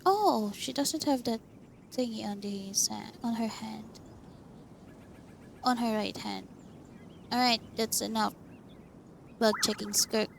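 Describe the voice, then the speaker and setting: A woman's voice: on the high side, slow pace, vocal fry, long pauses, background noise. A woman giving casual, informal playthrough commentary as she plays a game, remarking mostly to herself. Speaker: gaming streamer. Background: game music.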